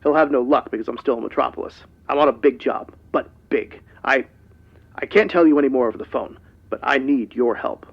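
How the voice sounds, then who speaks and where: A man's voice: medium pitch, a little fast, creaky, husky. An actor playing a curt, secretive male crime fighter in a comedic audio drama, talking tersely and urgently through a telephone filter. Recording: muffled narrow-band phone line.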